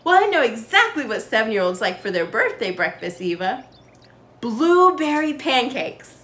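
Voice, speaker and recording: A woman's voice: a little high, big pitch swings, moderate pace. A woman voicing a kindly, teasing grandfather in a children's storybook. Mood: playful teasing. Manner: teasing, gentle, twinkly. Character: kindly, playful doting grandfather. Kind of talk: children's storybook read-aloud.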